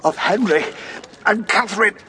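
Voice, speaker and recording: A man's voice: sits high, normal speed, background noise, close to an everyday voice. An actor playing a desperate dying workman, gasping and straining in a radio drama. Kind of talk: full-cast radio drama.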